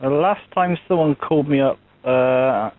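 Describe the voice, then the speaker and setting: A man's voice: mid-range voice, no echo. Hesitant man on a tinny phone line. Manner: halting and hesitant, full of ums and apologies. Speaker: prank caller. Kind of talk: phone prank call. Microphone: telephone.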